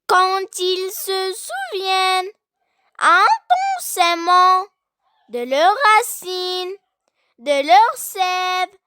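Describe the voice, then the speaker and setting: A childlike voice reciting a poem for young learners, deliberately and phrase by phrase, with long pauses. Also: extremely high pitch, lively intonation, pushed and bright, no echo, clean studio sound.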